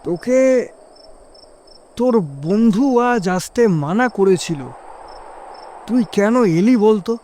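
A man's voice: sits high, animated, takes it slowly, pauses often, mellow, a little hiss or hum, voice pushed up. A man voicing an eerie, cold, evasive young male friend in a horror story. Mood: eerie, reproachful.

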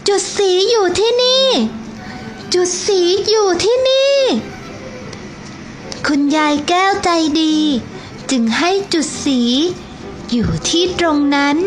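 A woman teacher narrating a children's storybook slowly and gently. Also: very high voice, very animated, a little hiss or hum, poor audio. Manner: slow, gentle and clearly paced for children.

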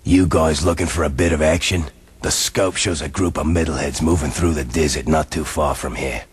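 An actor playing a burly, hostile desert warrior in a video game, gruff, sneering and menacing. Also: male voice, extremely low pitch, fairly even intonation, moderate pace, heavily acted. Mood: sardonic.

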